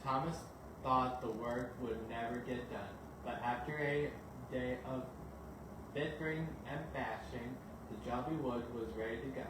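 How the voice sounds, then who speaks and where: A man narrates a children's storybook at bedtime. His voice sits at a middling pitch. The recording is heavily echoing and distant from the microphone, with clear background noise. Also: unhurried. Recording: very echoey, distant mic, noisy background.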